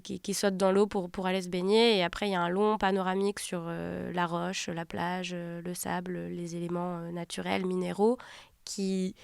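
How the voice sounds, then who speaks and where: A woman director from Turkey talks through her film in a measured, reflective way. Her voice is smooth and resonant, and the sound is dry and close. She often pauses and circles back to find the right word. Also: medium pitch, no echo. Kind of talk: interview about her film.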